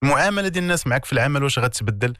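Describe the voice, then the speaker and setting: Fast-talking Moroccan man, coaching. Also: mid-range voice, lively intonation, bright, forceful tone, no echo, clean studio sound. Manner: emphatic, didactic, repeats key words and poses rhetorical questions. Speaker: self-development coach, interview guest. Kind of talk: conversational explanatory coaching in an interview.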